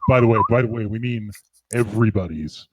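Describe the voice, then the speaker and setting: A man's voice: on the low side, a little fast, no echo. A man in his thirties reading bad fan fiction aloud with wry exasperation. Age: 30s.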